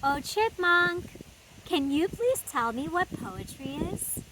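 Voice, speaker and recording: A curious little boy with a raised, eager voice asks his questions politely, as a woman reads him out. He is a storybook child, bright-eyed and full of wonder. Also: extremely high pitch, animated, slow pace, background noise, voice pushed up, full character voice.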